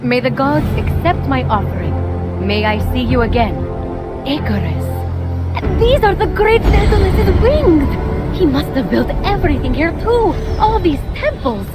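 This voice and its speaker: An actress playing an earnest, plucky young female hero in a fantasy video game, expressive and wry. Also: sits high, measured pace. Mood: amazed. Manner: expressive, wry, hesitant asides to herself. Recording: loud music behind voice.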